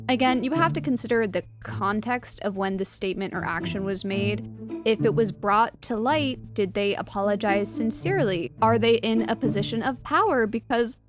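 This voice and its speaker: A woman delivering a scripted, argumentative video essay. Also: mid-range voice.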